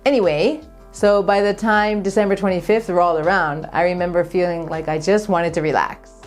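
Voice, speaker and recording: An American woman in her thirties talks as a vlogger, sharing personal stories. Her manner is upbeat and friendly, and her voice sits at an average pitch. Music plays clearly behind her. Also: a little mellow. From Japan, raised by American parents. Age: 30s. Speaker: vlogger, channel host. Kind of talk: personal storytelling vlog.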